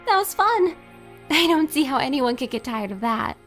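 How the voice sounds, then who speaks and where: A woman's voice: high-pitched, sing-song, unhurried, pushed and bright, soft background music, full character voice. A woman playing a sweet, curious, wide-eyed girl in an audio drama, earnest, polite and eager. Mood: delighted wonder.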